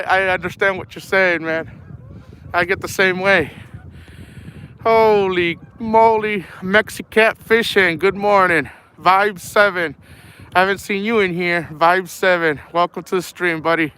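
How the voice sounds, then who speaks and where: A high-voiced young man chats loosely to his livestream viewers from a cold lakeshore, his throat sore and his voice half gone. He rambles in an easygoing way and tacks "man" onto his sentences. Steady wind roars across his phone mic as he talks. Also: unhurried. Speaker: fishing livestream host. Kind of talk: casual live-stream chat reading out viewer names. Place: outdoors by a windy, partly frozen lake. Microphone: phone held at arm's length. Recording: loud wind noise outdoors. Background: wind and honking geese.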